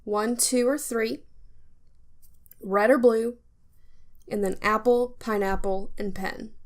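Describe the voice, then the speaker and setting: A woman's voice: a little high, steady tone, takes it slowly. A woman co-hosting a podcast in casual, conversational talk. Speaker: podcast co-host.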